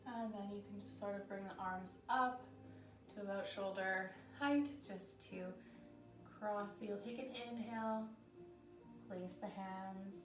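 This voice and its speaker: A woman leads a yoga class in calm, gentle cues, her voice a little scratchy, and she clears her throat now and then. Her delivery stays flat and even. She sounds distant in an echoey room, with soft music playing underneath. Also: mid-range voice, measured pace. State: slightly scratchy voice. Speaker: yoga teacher. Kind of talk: guided yoga instruction. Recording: roomy echo from a distant mic with background music.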